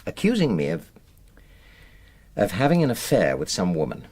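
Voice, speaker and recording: Indignant middle-aged English husband, swooping delivery. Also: male voice, low-pitched, wildly swooping pitch, moderate pace, a little mellow, breathy edge, exaggerated voice. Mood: defensive. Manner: emphatic, clipped, self-justifying. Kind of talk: naturalistic radio drama acting.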